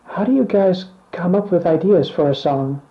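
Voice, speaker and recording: A man acting in a scripted mock band interview, speaking in a measured, plain and earnest way. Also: high voice, highs cut off. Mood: calm, earnest.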